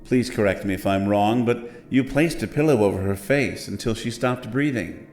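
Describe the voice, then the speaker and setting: An actor playing a formal, theatrical and ominously polite host of a haunted inn in a horror audio drama. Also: male voice, on the low side, moderate pace, darkish tone, lightly acted. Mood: coolly menacing. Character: courteous, sinister male host of a haunted bed and breakfast.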